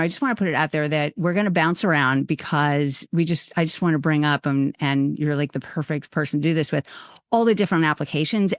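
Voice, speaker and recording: A woman's voice: deep voice, creaky, no room sound, studio quality. A woman hosting a podcast, talking enthusiastically and rambling, restarting her sentences. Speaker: podcast host. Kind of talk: casual interview chat.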